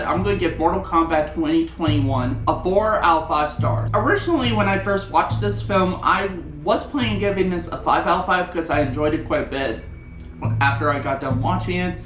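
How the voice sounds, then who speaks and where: A person giving a casual, opinionated film review in a rambling, conversational way. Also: medium pitch. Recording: roomy echo, music underneath.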